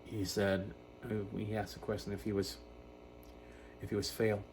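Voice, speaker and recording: A man in his fifties giving an unscripted personal monologue in a halting, self-reflective way. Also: on the low side, level tone. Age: 50s. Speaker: video creator talking about personal issues. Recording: noticeable background noise.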